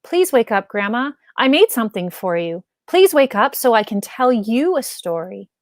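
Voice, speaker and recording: A woman's voice: on the high side, big pitch swings, moderate pace, dry recording. Woman as an eager young granddaughter, dry sound. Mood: pleading. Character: earnest, eager young granddaughter in a children's story.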